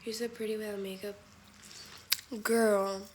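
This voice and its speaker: A woman's voice: medium pitch, level tone, measured pace, darkish tone, slight background noise. Blunt young woman chatting on a livestream. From Spain. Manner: candid, blunt and profane; mixes in Spanish phrases. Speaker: livestream host. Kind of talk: casual live chat with viewers.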